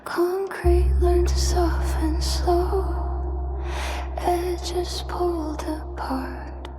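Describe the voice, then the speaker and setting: A woman singing a slow ballad with whispery passages. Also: extremely high pitch, flat delivery. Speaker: singer. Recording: echoing vocal under loud music. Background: loud music.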